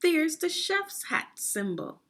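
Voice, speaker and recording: A woman reading aloud a children's instructional book like a teacher, phrasing deliberately and putting prompting questions to young readers. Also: very high-pitched, big pitch swings, fairly bright tone. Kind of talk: read-aloud guided reading lesson.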